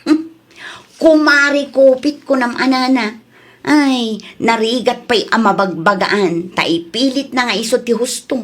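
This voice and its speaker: A woman's voice: a little high, measured pace. A woman playing a suspicious, insistent customer with a pointed, scolding manner in a comedy drama. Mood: accusing, scolding. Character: suspicious, insistent female customer exposing a cheat.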